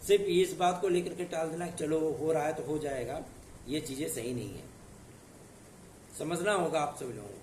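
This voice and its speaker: A hoarse man from northern India gives an earnest, reassuring exam-news update, often checking in with his listeners. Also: a little high, moderate pace, darkish tone, distant mic, slight background noise. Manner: earnest, reassuring, with repeated tag questions. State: hoarse from a sore throat. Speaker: exam news channel host. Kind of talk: casual direct-to-camera update. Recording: echoey room, voice off-mic.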